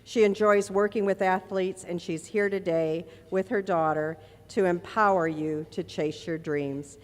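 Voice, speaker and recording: A woman's voice: fairly low pitch, fairly even intonation, measured pace. A woman from the Chicago area hosting, reading a speaker's introduction steadily from notes. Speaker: event host. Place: banquet hall. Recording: echoey hall.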